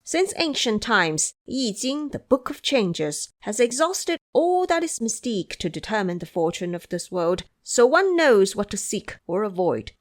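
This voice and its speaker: Woman as young crown prince, haughty, hot-tempered, imperious, animated intonation, audio drama. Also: medium pitch, unhurried, few pauses. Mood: skeptical. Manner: imperious, indignant.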